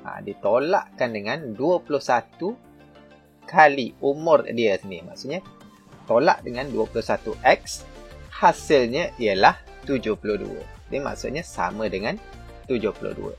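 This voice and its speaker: A man's voice: medium pitch, lively intonation, moderate pace, creaky, soft background music. A man with a Malaysian Malay accent teaching maths, working through textbook examples step by step with fillers and self-corrections. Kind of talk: explaining a textbook lesson.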